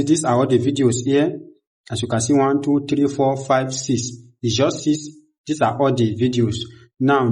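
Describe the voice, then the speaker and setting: A man's voice: medium pitch, warm tone. A man hosting a step-by-step screen tutorial, narrating each click aloud in a repetitive, instructional way. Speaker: tutorial host.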